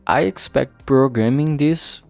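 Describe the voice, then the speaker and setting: A man talking casually and haltingly in a rambling vlog, informal and self-deprecating, with some swearing. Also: mid-range voice, vocal fry, a little mellow, no room sound. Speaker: indie visual novel creator and vlog host. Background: background music.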